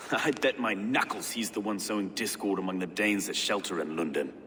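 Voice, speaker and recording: A man's voice: fairly low pitch, moderate pace, a bit bright, in a large hall, slight background noise, lightly acted. An actor playing a genial, pious medieval man of London in a video game, with folksy, colourful phrasing. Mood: genial, shrewd.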